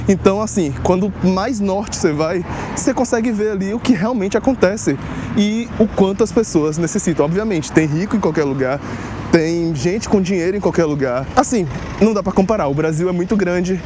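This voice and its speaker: A man's voice: sits high, normal speed. A young man from Salvador, casually explaining to his vlog audience in a direct, conversational way. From Salvador, Northeast Brazil. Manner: conversational, direct address with rhetorical asides. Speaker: vlogger on life abroad. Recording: street noise behind.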